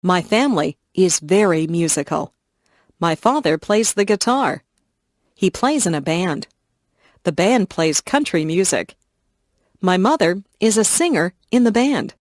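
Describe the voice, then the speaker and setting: A woman slowly and clearly reading simple first-person stories for English learners. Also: fairly low pitch, lively intonation, no room sound, clean studio sound. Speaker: reader of English listening stories. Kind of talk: slow scripted reading for learners.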